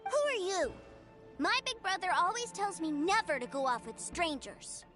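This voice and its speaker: A woman voicing a sweet, eager young boy who talks in an excited, innocent and earnest way, for a video game. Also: very high-pitched, moderate pace. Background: game music.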